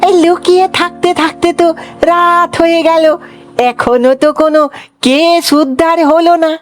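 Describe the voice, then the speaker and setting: A performer voicing a gullible, excitable male fox husband in a comic cartoon, exclaiming and repeating words in a sing-song way. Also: very high voice, normal speed, soft background music. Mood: bewildered.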